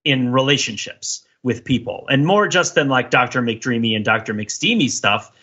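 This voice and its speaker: A man with a husky voice hosting a podcast, casually analyzing things in a discursive way and often checking in with a "right?". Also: medium pitch, normal speed, bright, forceful tone. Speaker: podcast host. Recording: slightly dull, lo-fi sound.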